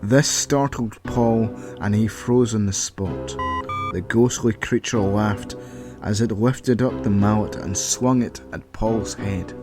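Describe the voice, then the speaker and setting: A man narrating an original audio story in an even, measured reading voice. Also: lowish voice, music in the background.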